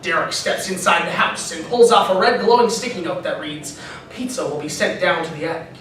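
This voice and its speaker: A man delivers scripted comic story narration. His pitch is high for a man. The sound is distant and heavily echoed, with faint noise. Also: fairly even intonation, a little fast, fairly bright tone. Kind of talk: scripted comedic story narration, read live. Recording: boomy echoing room, off mic, faint noise.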